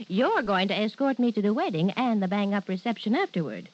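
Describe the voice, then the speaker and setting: An actress playing a rich, breezy, bossy older socialite in an old-time radio drama, slangy and playful. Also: female voice, mid-range voice, sing-song, a little fast. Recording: dull vintage radio recording.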